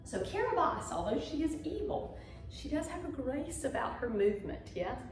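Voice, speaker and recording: A woman's voice: high voice, big pitch swings, moderate pace, noisy background. A woman teaching ballet mime, telling stories theatrically and prompting learners to follow along. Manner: theatrical storytelling with encouraging prompts. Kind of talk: demonstrative dance lesson, talking viewers through gestures. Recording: very echoey room, music behind.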